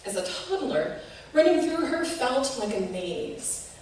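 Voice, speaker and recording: A woman giving an expressive, measured reading of a literary piece, recorded in a reverberant room from a distance. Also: high voice. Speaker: reader of a short literary piece. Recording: echoey room, distant mic, faint noise.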